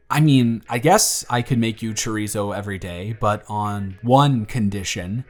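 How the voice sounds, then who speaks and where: A man voicing a young single father in his twenties, speaking low and soft with an earnest, slightly flustered warmth. He talks in a gentle audio roleplay with faint music underneath. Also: animated, unhurried. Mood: tender. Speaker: father confessing feelings to the babysitter.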